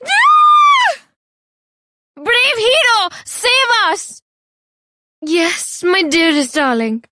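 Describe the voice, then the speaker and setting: An actress playing a melodramatic, lovestruck young damsel in a video game, gushing in florid phrases. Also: female voice, very high voice, big pitch swings, drawn-out words, sharp tone, raised voice, full character voice. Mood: frantic. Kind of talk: theatrical video game voice acting.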